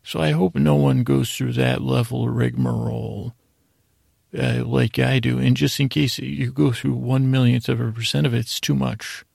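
Super creaky husky guy doing a sleep podcast, rambles and trails off, lulling, mid pitch. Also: fairly even intonation, a little mellow, no echo, clean studio sound. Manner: meandering, halting, self-interrupting, soothing intent. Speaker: podcast host. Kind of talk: rambling bedtime podcast monologue.

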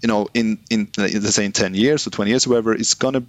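Man, interview guest, low voice, halting restarts, dry close sound. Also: steady tone, dry recording. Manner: halting, many restarts and repeated words. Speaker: guest, online business founder. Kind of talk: casual interview talk.

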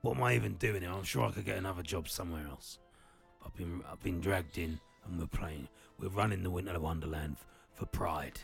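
A man acts out a beaten-down manager of a failing winter attraction, muttering in a low, quiet, gravelly voice. He sounds utterly defeated and sorry for himself in this comedy podcast sketch. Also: brisk, soft background music. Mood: glum. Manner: glum, mumbling, defeated. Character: weary, fed-up middle-aged man running a failing attraction.

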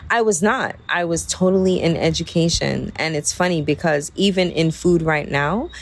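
A woman from Barbados, co-owner of a farm restaurant, telling her story in a relaxed, playful way as an interview guest. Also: sits low, normal speed, gravelly. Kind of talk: interview guest, casual chat.

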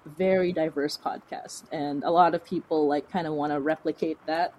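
A woman's voice: low-pitched, fairly even intonation, moderate pace, a little mellow, low-quality recording. A woman chatting casually as an interview guest, rambling and informal, with a self-deprecating way of talking. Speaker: fiction podcast creator, interview guest.

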